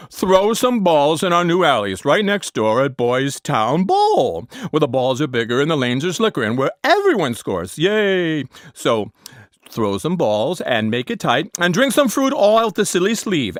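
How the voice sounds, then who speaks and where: A man's voice: a little high, big pitch swings, unhurried, dry recording, clean studio sound, pitched above the performer's own voice. A man playing a sassy, bossy, campy club doorkeeper in a comic promo. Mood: sassy, excited.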